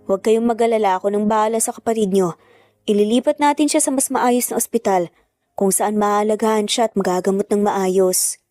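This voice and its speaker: A woman's voice: mid-range voice, brisk, darkish tone, no room sound, studio quality, faint music behind, close to an everyday voice. A woman voicing an earnest, protective estranged father in a narrated family drama, urgent and intense. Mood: concerned.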